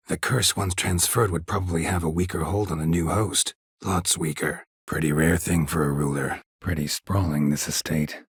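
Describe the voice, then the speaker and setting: Game role: stoic male monster hunter, deep and very gravelly, terse, deadpan, world-weary. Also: dry recording, heavily acted. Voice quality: gravelly, husky rasp. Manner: terse, deadpan, dry understatement. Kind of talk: video game character dialogue.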